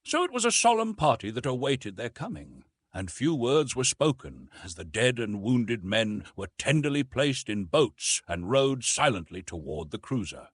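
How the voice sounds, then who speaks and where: A man reading an adventure novel as an audiobook, with expressive, dramatic storytelling. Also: medium pitch, moderate pace, hardly any pauses, breathy edge, no room sound. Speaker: audiobook narrator. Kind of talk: scripted narration of an adventure novel.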